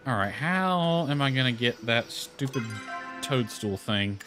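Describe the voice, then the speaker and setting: A man's voice: medium pitch, expressive, a little slow. A man giving casual, rambling commentary on a game livestream, mildly grumbling as he goes. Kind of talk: casual gameplay stream commentary. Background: music.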